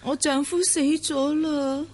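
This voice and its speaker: 高音、哀怨嬌柔嘅年輕寡婦. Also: female voice, high voice, steady tone, slow pace, a little mellow. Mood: pleading, clinging. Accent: Hong Kong Cantonese. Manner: plaintive, theatrical. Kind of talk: Cantonese radio drama acting.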